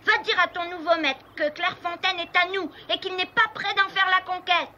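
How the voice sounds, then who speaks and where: A woman's voice: very high voice, fairly quick, pushed and bright, muffled recording, full character voice. A woman voicing a brave, earnest young boy beaver in a cartoon, speaking resolutely. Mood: defiant. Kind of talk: French cartoon dub acting.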